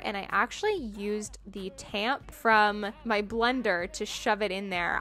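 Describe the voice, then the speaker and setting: A woman's voice: medium pitch, pushed and bright. A young woman casually narrating her home cooking in a chatty, self-deprecating, upbeat way. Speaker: cooking vlogger. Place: home kitchen.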